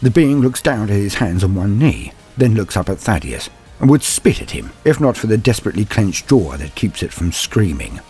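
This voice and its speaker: A man narrating a scripted story and guide in a theatrical, solemn storyteller's manner, with a husky voice. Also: lowish voice, very animated, normal speed, hardly any pauses, a little mellow, soft background music. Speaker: narrator and channel host.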